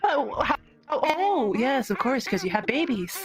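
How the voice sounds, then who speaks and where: A woman improvising as a naive, curious, easily flustered young woman in a fantasy roleplay, speaking haltingly. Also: high-pitched, big pitch swings, over music. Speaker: roleplayer in an online fantasy game.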